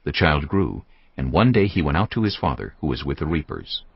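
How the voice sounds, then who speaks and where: A man narrating scripture in a measured, solemn way. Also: rumbling low, sing-song, vocal fry, slightly rough, dry recording. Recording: dull audio with music bed.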